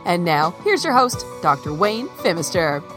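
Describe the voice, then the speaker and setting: Lively woman announcer over music. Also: fairly low pitch, moderate pace. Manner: polished announcer delivery. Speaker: podcast announcer. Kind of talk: scripted intro read. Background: music bed.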